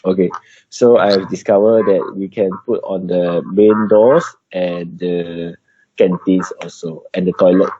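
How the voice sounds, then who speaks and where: A man with a Malaysian accent giving an update in an informal work meeting on a video call, in halting non-native English. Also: low-pitched, steady tone, mellow, slightly rough, no room sound. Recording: dull, noisy video call with clicks.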